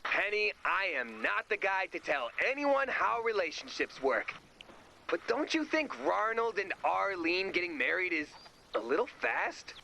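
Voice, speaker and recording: A man playing a goofy, self-important huckster in a comic video game, talking in an animated, salesman-like way. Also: high-pitched, a little slow, vocal fry, a little hiss or hum, heavily acted. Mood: worried, self-important. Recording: loud music behind the voice.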